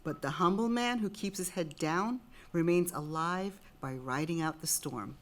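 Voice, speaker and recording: A woman reading as a solemn Greek tragedy chorus, warning of fate in a measured, declamatory way. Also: on the low side, lightly acted. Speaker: chorus member. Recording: noticeable background noise.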